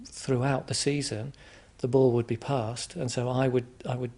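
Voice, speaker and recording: A man from England answering interview questions in a hesitant, reflective way, with frequent restarts and pauses. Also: medium pitch, flat delivery, mellow. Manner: hesitant, many restarts and ums. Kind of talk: conversational interview.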